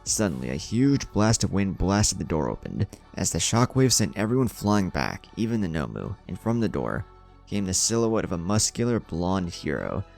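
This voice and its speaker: Male narrator for dramatic fan fiction, very low register, animated intonation, gravelly texture. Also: moderate pace, soft background music. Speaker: story narrator.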